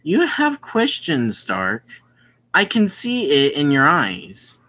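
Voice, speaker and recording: A man reading the part of a composed, matter-of-fact woman from a visual novel in a measured way. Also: on the high side, wildly swooping pitch, sharp tone, full character voice. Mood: knowing.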